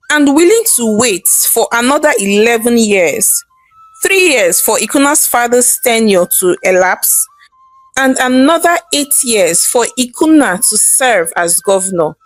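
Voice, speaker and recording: A woman's voice: on the high side, animated, unhurried, soft background music, poor audio. A woman narrating a scripted folktale in a dramatic storytelling style.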